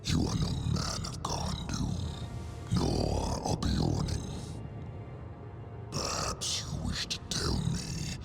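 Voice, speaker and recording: A man voicing a huge, ancient, proud dragon in a video game, speaking slowly and imperiously with a rumbling growl. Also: lightly acted. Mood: suspicious. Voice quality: gravelly, rumbling. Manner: slow, imperious, formal. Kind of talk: video game cutscene acting. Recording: loud music behind.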